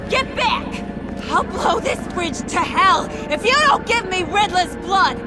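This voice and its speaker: Game role: volatile young woman villain, shrill-high, mocking, slow threats, music underneath. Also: very animated, exaggerated voice. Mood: furious threats. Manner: brash, mocking, drawn-out. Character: volatile, taunting, menacing young female villain. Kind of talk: video game acting.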